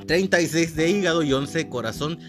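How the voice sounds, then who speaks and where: A man's voice: on the high side, brisk. Chatty Mexican man over guitar music. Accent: Mexican Spanish. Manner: chatty, informal, hesitant with fillers and restarts. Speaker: podcast host. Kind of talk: casual solo podcast chat.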